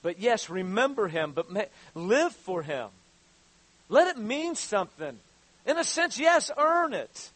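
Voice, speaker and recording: A pastor in his fifties preaches with a high voice, doubling back over his words before rising into urgent calls to his listeners. The sound is dry and close, with almost no room around it. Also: sing-song, fairly bright tone, no echo. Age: 50s. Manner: halting, repeats words, rises to fervent exhortation. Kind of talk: sermon.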